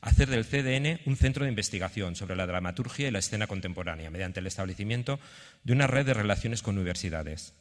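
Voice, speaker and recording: A man from giving a halting conference presentation, partly reading it out. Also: on the low side. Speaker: theatre representative presenting a project. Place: indoor conference venue. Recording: reverberant conference hall.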